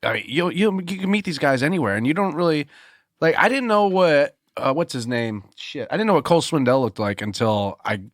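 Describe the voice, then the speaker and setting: A man, a singer-songwriter guest, telling animated stories in casual podcast chat with lots of fillers and laughter. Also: fairly high pitch, brisk, dry recording, studio quality.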